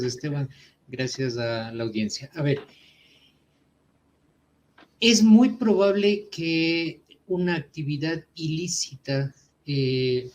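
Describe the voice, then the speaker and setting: A male systems engineer speaks very slowly and deliberately, pausing on fillers as he explains. His voice sits at a middle pitch. Faint background noise sits under a video call. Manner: slow, measured, with fillers. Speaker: systems engineer, invited guest. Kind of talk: conference explanation.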